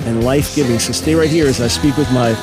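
Male radio host asking quick questions. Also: medium pitch, over music. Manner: friendly, quick follow-up questions. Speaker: radio talk show host. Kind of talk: radio interview. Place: radio studio.

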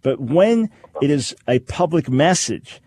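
A man hosting a call-in radio show, explaining his views to callers in a measured way and repeating phrases for emphasis. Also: on the high side, very animated, darkish tone. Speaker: radio talk show host. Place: radio studio. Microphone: studio microphone.

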